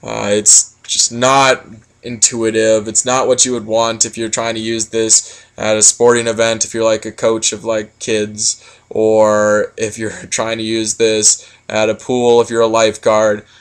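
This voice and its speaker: A man's voice: lowish voice, completely flat delivery, measured pace, smooth. Casual man giving a product critique. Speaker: product reviewer.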